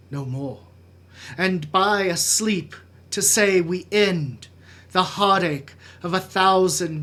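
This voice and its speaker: A brooding, anguished young prince acted out by a poetry reader delivers a stage soliloquy very slowly. The delivery is theatrical and halting, swelling and fading around a middling pitch. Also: a bit bright.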